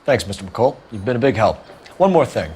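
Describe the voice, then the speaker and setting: An actor playing a stern young 1940s police detective, formal and probing, in a video game. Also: male voice, lowish voice, sing-song, a little fast, a little mellow, faint music behind. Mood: businesslike. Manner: formal, probing, businesslike questioning.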